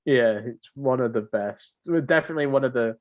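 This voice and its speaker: A man's voice: medium pitch, brisk, lo-fi sound. A man co-hosting a podcast in casual, conversational chat about games. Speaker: podcast co-host.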